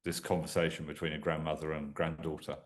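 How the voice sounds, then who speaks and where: Deep-voiced male podcast host. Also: flat delivery, fast. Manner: short encouraging interjections. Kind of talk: interview.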